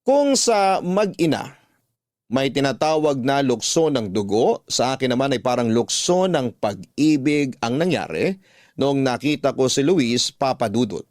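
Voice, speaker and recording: A man narrating a scripted first-person story for a radio drama, measured and dramatic, drawing out the ends of phrases. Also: mid-range voice, animated, a little mellow, no echo, studio quality. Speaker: radio drama host and narrator reading a letter.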